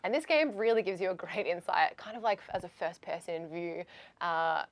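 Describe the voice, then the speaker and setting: A woman's voice: on the low side, moderate pace. A woman co-hosting a game review show, chatting casually and emphatically with gushing enthusiasm.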